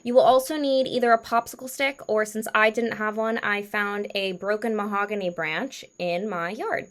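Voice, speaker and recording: A woman hosting a puppet craft tutorial, talking in simple, deliberate phrases as if for a young audience. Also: mid-range voice, fairly even intonation, fairly bright tone. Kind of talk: instructional craft tutorial talk.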